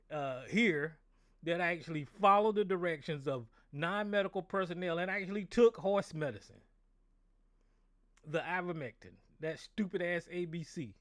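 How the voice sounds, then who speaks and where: Hoarse, angry American man ranting. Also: a little high, no echo, studio quality. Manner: angry, profane, repetitive. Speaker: show host. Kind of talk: political rant on a call-in show.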